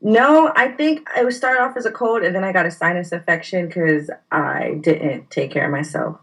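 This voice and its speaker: A woman's voice: on the low side, moderate pace, fairly bright tone, rough recording. A woman from New York, a professional wrestler, chatting as a guest in a casual interview and laughing easily. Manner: friendly, giggly, easygoing.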